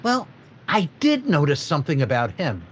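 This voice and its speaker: An actor playing a shaken factory owner in a 1940s-style radio drama, talking in a hesitant, stammering way. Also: male voice, on the high side, wildly swooping pitch, moderate pace, slightly rough, heavily acted. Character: shaken middle-aged male factory owner robbed of payroll.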